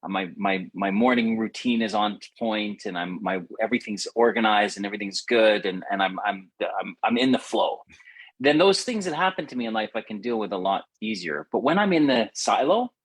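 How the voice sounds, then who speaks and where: A man's voice: fairly low pitch, animated, a little fast, slightly rough. A middle-aged man hosting a casual, reflective conversation, rambling and circling back to rephrase as he goes. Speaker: podcast host.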